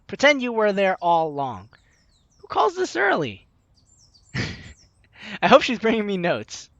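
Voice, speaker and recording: A woman's voice: mid-range voice, wildly swooping pitch, slow, creaky, dry recording, highs cut off. A young woman hosting a game playthrough, reading the story aloud expressively and commenting as she goes, close on the mic. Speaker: let's play host.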